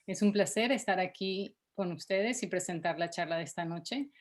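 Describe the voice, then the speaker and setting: A woman's voice: on the low side, moderate pace. A woman from Venezuela with a Venezuelan accent, a nonprofit director hosting a webinar and moving between Spanish and English with frequent fillers. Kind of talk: bilingual webinar introduction.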